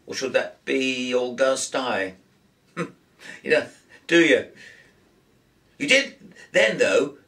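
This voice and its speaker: Storybook role: wry, talkative twenty-something eccentric played by a male reader, lifted pitch, a bit off-mic. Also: slow, long pauses, far from the mic. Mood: chatty, musing. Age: young adult. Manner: animated, musing, chatty. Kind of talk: audiobook character voice.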